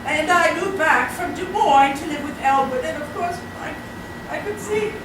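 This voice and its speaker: A woman's voice: high-pitched, normal speed, lightly acted. A woman playing a flustered, indignant middle-aged society mother in a comic stage play, fretful and emphatic in her complaints. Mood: hesitant, troubled. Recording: echoey, very noisy hall from far off.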